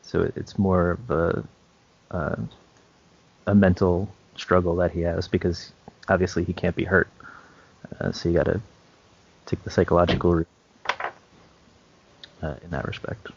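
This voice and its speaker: A man co-hosting a podcast, talking casually in a halting, measured way with pauses. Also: sits low, level tone, creaky, dull audio, slight background noise. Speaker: podcast co-host. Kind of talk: casual chat about tabletop roleplaying and comics.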